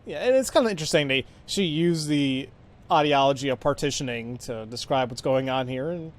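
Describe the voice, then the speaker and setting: A man's voice: mid-range voice, expressive, a little fast. A man doing casual let's play commentary, rambling and reading game text aloud in a skimming way. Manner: rambling, skims text with filler words.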